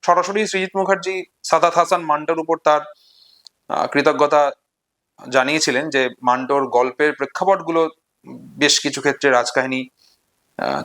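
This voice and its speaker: Male literature presenter, Bengali accent, high voice, measured storytelling and commentary with emphatic repetition. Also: fairly bright tone.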